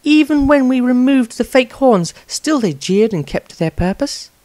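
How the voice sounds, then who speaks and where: A man's voice: very high-pitched, lively intonation, normal speed, darkish tone, no echo, voice pushed up. A man voicing an earnest, indignant young trainee priestess reading out her diary in a fantasy tale. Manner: prim, indignant storytelling.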